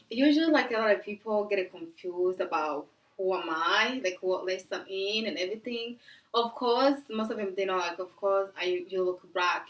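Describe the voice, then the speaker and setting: A woman's voice: mid-range voice, fairly bright tone, distant mic. A young woman from Tanzania, talking casually and sassily to her viewers, with lots of fillers and laughs, recorded on a camera mic in an indoor room. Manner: sassy, chatty, frequent fillers and laughs. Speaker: vlogger. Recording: echoey room, mic set back.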